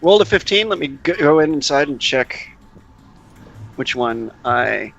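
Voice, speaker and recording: A man's voice: on the high side, very animated, unhurried, creaky, a bit bright, muffled recording, a little hiss or hum. A man playing a tabletop game, chatting casually and thinking aloud as he explains the rules.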